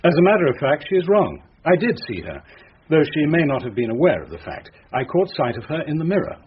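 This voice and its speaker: An actor playing a self-possessed, formally polite captain in a radio drama. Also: male voice, fairly high pitch, big pitch swings, a little fast. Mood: stiff, polite. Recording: dull radio recording, faint noise.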